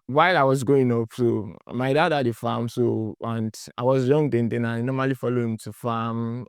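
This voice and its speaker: A man's voice: medium pitch, smooth, no room sound, studio quality. A young man in his twenties with a Nigerian accent, a student answering interview questions in a hesitant, rambling way with many fillers.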